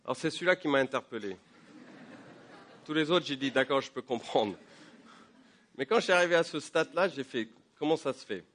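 Pastor w preaching w a cold, chatty, lots of euhs, gets louder + higher when he pushes a point. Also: animated, brisk, long pauses. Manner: conversational, with frequent fillers and repeated words; rises in pitch and volume when building to a point. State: has a head cold. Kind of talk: sermon. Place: church.

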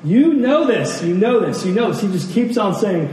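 A man preaching a sermon as a pastor, repeating key phrases deliberately for emphasis and posing rhetorical questions. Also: high-pitched, big pitch swings, mellow. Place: large reverberant room, church service. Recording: very echoey hall, distant mic, faint noise.

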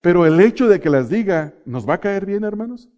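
A middle-aged man from Mexico preaching a sermon, asking the congregation questions, answering them himself and slowing down to stress key phrases. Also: sits high, lively intonation, dark tone, lo-fi sound.

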